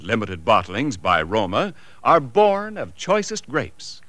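A man's voice: mid-range voice, sharp tone, no echo. A man with an American accent reading a 1940s radio commercial as a polished, emphatic announcer. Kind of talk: 1940s radio advertisement, scripted.

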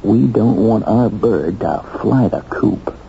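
An actor playing a keen, wisecracking newspaper investigator in a 1970s radio drama, with an emphatic, hard-boiled manner. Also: male voice, on the low side, lively intonation, slow, vocal fry, soft background music, exaggerated voice. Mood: determined, urgent. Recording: muffled, hissy old radio recording.

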